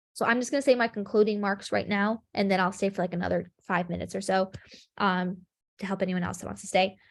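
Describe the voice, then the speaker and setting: Sniffly young woman leading a chem review. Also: medium pitch. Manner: friendly, filler-heavy explaining with frequent ums. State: sniffly, congested. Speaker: chemistry review session leader. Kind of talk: online review session over video call. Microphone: video call.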